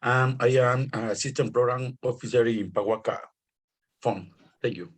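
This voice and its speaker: A man from Ecuador, an assistant program officer, introducing himself on a video call in a halting, modest way. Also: medium pitch, fairly even intonation, slightly rough.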